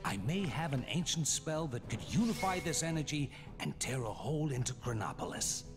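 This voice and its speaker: A man's voice: medium pitch, very animated, moderate pace, music in the background, natural voice. An actor playing an earnest, forgetful male sorcerer in a video game cutscene, with theatrical, urgent spellcasting delivery.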